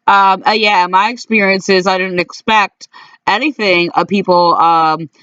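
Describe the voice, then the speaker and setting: Halting, aggrieved woman on dull lo-fi audio. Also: mid-range voice, steady tone, fairly bright tone, smooth, no room sound. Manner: halting and aggrieved, many fillers and restarts. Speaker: complainant recounting calls to police. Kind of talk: personal recorded monologue. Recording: dull lo-fi dry recording.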